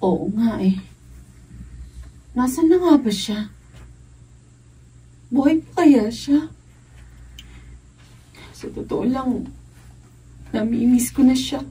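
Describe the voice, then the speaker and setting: A woman's voice: fairly high pitch, takes it slowly, pauses often, mellow, breathy edge, far from the mic, full character voice, poor audio. A woman acting a meek, emotional young adult daughter full of remorse in a Filipino radio drama. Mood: wistful regret.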